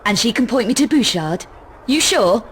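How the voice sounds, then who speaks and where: A woman's voice: fairly high pitch, lively intonation, moderate pace, slight background noise, close to an everyday voice. A woman playing a self-assured, threatening young adventurer in a video game, speaking in a cool, dry, sardonic way. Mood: dry, skeptical. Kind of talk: video game cutscene voice acting.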